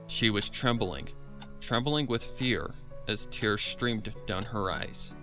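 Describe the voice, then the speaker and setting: A man's voice: on the low side, slow, bright, forceful tone, soft background music. A man giving a dramatic narration of a horror story. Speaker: audiobook narrator.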